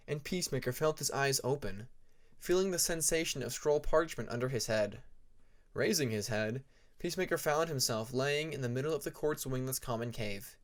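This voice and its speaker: A male audiobook narrator with a medium-pitched voice. He reads fiction very slowly and deliberately, setting phrases apart with dramatic pauses.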